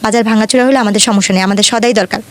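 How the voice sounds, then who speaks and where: A woman's voice: medium pitch, fairly even intonation, rapid-fire. A woman in her twenties from Dhaka with a Bangladeshi accent, telling her life story in an earnest, colloquial way as an interview guest. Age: 20s.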